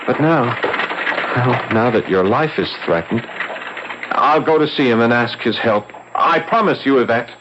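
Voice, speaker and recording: A man's voice: mid-range voice, animated, unhurried, natural voice. An actor playing a nervous, lovestruck young Paris policeman in a 1970s radio drama, hesitant, deferential and emotive. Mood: nervous, sheepish. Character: earnest, nervous young Parisian gendarme in love. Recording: dull, noisy 1970s radio recording.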